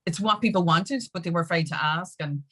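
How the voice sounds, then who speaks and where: A woman's voice: on the low side, brisk, fairly bright tone. A woman with an Irish accent talking casually and emphatically as an interview guest, often dropping in "like" and "you know". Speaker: interview guest, music industry activist.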